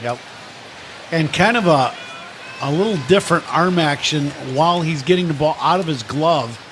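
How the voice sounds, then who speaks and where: Male radio analyst for live baseball, high-pitched, talking conversationally through clear background noise. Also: lively intonation, normal speed, a bit bright. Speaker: baseball radio color commentator. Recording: noisy ballpark broadcast.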